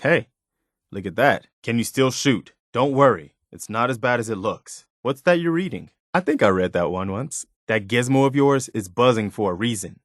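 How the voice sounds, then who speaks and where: A man's voice: mid-range voice, animated, normal speed, no room sound, studio quality, natural voice. A man playing an earnest, loyal militia companion in a video game, plain-spoken, caring and given to moralizing. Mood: casual, attentive.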